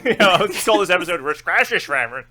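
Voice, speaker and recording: A man's voice: high-pitched, lively intonation, normal speed, a crowd in the background. A man co-hosting a casual podcast, joking and swearing freely with his friends. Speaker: podcast co-host.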